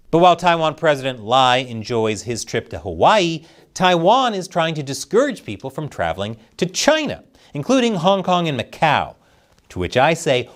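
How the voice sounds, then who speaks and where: A man reads satirical news commentary with a very lively, swinging pitch. He keeps a mock-serious anchor delivery and lands his punchlines with dry sarcasm. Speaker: host of a satirical news show.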